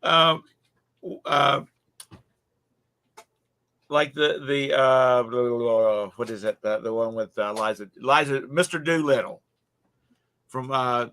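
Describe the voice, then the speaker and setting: A man's voice: medium pitch, slow pace, pauses often, pushed and bright, clean tone. A man with a Charleston accent telling a rambling travel story in casual chat, with many fillers and restarts. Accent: Charleston, South Carolina. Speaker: co-host.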